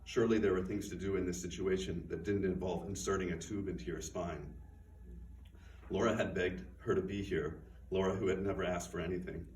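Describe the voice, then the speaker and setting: A man with a very low voice gives a measured, quiet reading of his own fiction. He stands back from the microphone in a bookstore, so the sound is roomy and distant. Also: steady tone. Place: bookstore event room. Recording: roomy, distant, faint noise.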